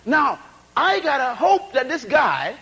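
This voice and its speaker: A man preaching a sermon, calling on the congregation for responses and driving points home through repetition and rhetorical questions, often dropping to low asides between loud bursts. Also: sing-song, slow pace, husky. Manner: swings between shouted emphasis and low asides.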